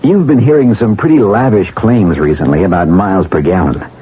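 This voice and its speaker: A man announcing a 1970s radio car commercial in a smooth, persuasive manner. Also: low voice, fairly quick, narrow, tinny audio. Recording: phone-thin vintage radio recording.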